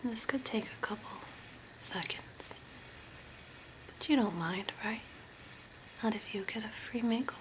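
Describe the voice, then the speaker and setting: A woman voicing an eerie, deadpan female zombie makeover artist in a soft, breathy, mostly whispered ASMR role play, speaking slowly and deliberately. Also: on the high side, lightly acted. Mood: eerie, courteous. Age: young adult. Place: small curtained room at home, indoors. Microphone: close to the camera. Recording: noisy amateur home recording.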